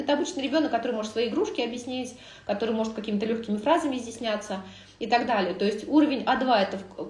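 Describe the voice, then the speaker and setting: Chatty woman teaching in a roomy echo. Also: a little high. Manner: chatty, lively, frequent filler sounds and self-corrections. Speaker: French teacher. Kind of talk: casual livestream lesson. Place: living room at home, indoors. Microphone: phone camera at arm's length.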